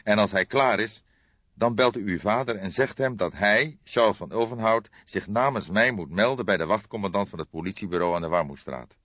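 A man's voice: fairly low pitch, fairly quick, fairly bright tone, slightly rough, voice pushed up. A man voicing a stern, commanding old police detective in an audiobook. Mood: kindly insistent. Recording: dull, roomy audiobook recording.

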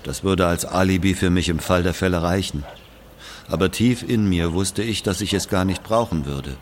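A man playing an aging Algerian who confesses an old killing in a brooding, deliberate literary monologue. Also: sits low, a little hiss or hum, lightly acted. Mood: grave, confessing. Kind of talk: literary monologue, dramatic audio narration.

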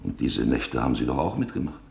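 An actor playing an office employee, giving measured, sober testimony about wartime events in a radio documentary drama. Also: male voice, a bass voice, sing-song, gravelly, darkish tone, exaggerated voice. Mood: grave, troubled.

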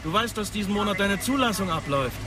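A man's voice: sits high, animated, fast. A man playing a chatty, probing small-town police officer in radio play dialogue. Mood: casual, probing. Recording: distant, noisy outdoor car scene. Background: car and street ambience.

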